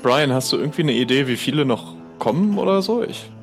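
A man's voice: mid-range voice, animated, fast talker, soft background music. A man improvising casual, tentative dialogue as a player in an audio role-play, often asking questions.

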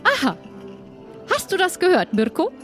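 Woman acting as chatty nosy kind townswoman, audio play, high voice that jumps around, repeats herself. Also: wildly swooping pitch, moderate pace, fairly bright tone, faint music behind, full character voice. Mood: curious. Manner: stammering, repeats words in surprise. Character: curious, sympathetic, chatty townswoman among pirates.

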